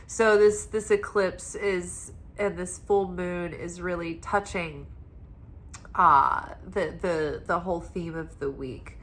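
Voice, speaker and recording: A woman giving a weekly tarot reading in a soft, meandering, spiritual way, her voice stuffed up and congested from crying. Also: lowish voice, fairly even intonation, slow. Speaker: tarot reader.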